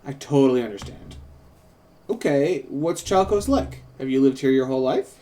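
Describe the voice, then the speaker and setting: A man reads as a visiting harpy woman investigating a case in a drama script. The tone is mellow and the intonation lively, with polite, gently probing questions. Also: mid-range voice, moderate pace, pitched below the performer's own voice, natural voice. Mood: curious, probing. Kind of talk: script table read, in character.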